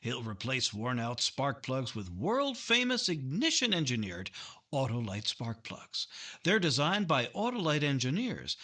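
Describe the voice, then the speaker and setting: A man plays a peppy vintage radio pitchman with a bright, highly animated voice, selling with emphatic conviction. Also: heavily acted. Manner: emphatic, salesmanlike. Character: peppy 1950s male spark plug pitchman. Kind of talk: 1950s radio commercial.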